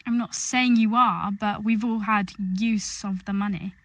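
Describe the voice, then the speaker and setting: A woman's voice: medium pitch, fairly even intonation, unhurried, close to an everyday voice. A woman playing a defiant, earnest eighteen-year-old rich girl in an audio drama. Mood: urgent, earnest.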